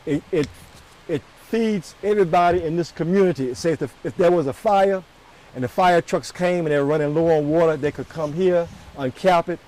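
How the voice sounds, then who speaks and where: A man's voice: a little high, brisk, dark tone. A man explaining something as a local resident in a field interview.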